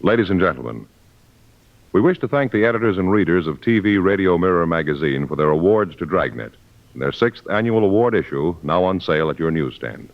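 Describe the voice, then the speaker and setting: A man's voice: low-pitched, expressive, fairly quick. A man with an American accent announcing and plugging the sponsor in 1950s radio style. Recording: muffled old radio broadcast.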